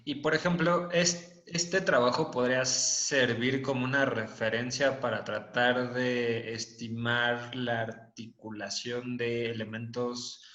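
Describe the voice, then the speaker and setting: A man's voice: mid-range voice, flat delivery, slow, few pauses, pushed and bright. A man asking tentative questions in an online seminar, thinking aloud as he goes. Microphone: video call. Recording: echoey, dull call audio.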